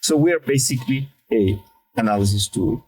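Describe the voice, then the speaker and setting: A man's voice: medium pitch, a little fast, darkish tone, poor audio. A middle-aged man from Mexico City speaking English with a Mexican Spanish accent, answering interview questions hesitantly and in a friendly way. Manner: hesitant, many fillers and restarts, friendly. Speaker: guest, sales lead.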